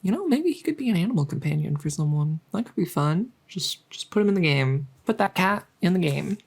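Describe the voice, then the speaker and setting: A woman playing a friendly, chatty dungeon master in a soft-spoken ASMR roleplay, musing and hesitant as she talks intimately to the listener. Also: fairly low pitch, animated, brisk, gravelly, husky, full character voice. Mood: casual, explanatory. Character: friendly female dungeon master, chatty, teasing, doting cat owner.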